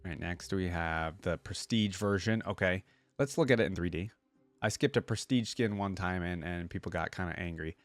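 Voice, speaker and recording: A man's voice: deep voice, normal speed, no echo. A man giving casual, informal reaction commentary, thinking aloud as he goes with plenty of filler words. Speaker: reaction video host.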